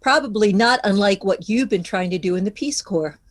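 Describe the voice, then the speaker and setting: A woman's voice: medium pitch, lively intonation, normal speed, lightly acted. A woman reading a matter-of-fact, well-informed character in a scripted table read.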